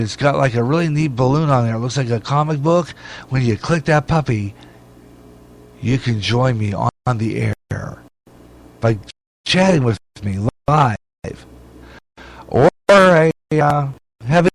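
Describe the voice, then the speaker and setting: A man hosting an internet radio show in a casual, folksy way, rambling and halting at times. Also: medium pitch. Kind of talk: casual radio host talk and station promos.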